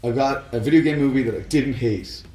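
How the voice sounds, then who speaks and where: A man's voice: medium pitch, a little fast, mellow, roomy sound, soft background music. A man in his thirties co-hosting a casual podcast, chatty and laughing often between fillers. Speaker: podcast co-host. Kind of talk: casual chat about films and games.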